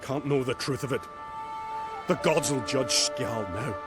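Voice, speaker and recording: An actor playing a severe village elder and guardian of custom in a fantasy video game, solemn and commanding. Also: male voice, medium pitch, measured pace, loud music behind the voice, natural voice. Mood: grudging, solemn.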